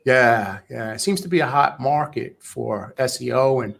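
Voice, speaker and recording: Man, mid pitch, podcast host, casual follow-ups. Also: moderate pace, vocal fry, fairly bright tone. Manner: conversational, probing follow-ups. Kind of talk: casual interview chat.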